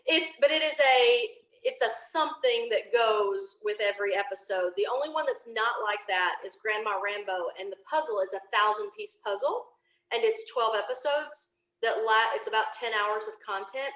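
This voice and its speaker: A woman's voice: a little high, moderate pace, a bit bright. A woman guesting on a podcast, chatting casually and often giggling as she talks. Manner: giggly, laughs while talking. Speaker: podcast guest, audio drama creator. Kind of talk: casual animated chat. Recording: roomy, echoing sound.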